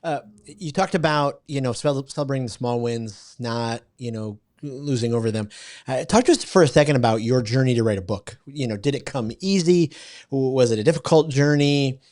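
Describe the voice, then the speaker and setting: A man's voice: medium pitch, normal speed, a little mellow. A man hosting a podcast, reacting with amazement and stumbling through his questions. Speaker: podcast host. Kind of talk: casual interview conversation.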